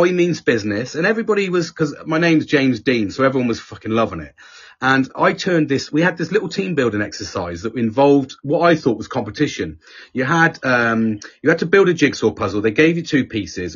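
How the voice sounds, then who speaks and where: A middle-aged man with a husky voice, telling a story in casual, sweary podcast chat and acting out the people he quotes. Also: fairly high pitch. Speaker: podcast host. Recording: dull lo-fi podcast audio.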